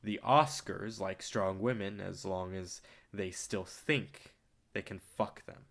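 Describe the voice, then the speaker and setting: An actor in a staged reading playing a domineering, cynical film distributor with a condescending, lecturing manner. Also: male voice, deep voice, fairly even intonation, gravelly, a bit bright, heavily acted. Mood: sly, cynical. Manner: condescending, rapid-fire lecturing.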